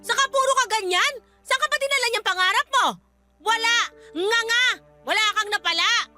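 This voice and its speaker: Bitter nagging mom, super high sharp voice, radio drama, scornful. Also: female voice, normal speed, faint music behind, heavily acted. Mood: scornful, reproachful. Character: bitter, domineering mother scolding her adult daughter. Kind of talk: Filipino radio drama acting.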